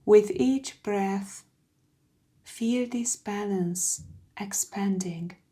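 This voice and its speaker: A woman leads a guided meditation at a very unhurried pace. Her tone is soft and mellow, soothing and hushed, and her phrases often end in a breathy near-whisper. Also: medium pitch. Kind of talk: slow guided meditation, scripted.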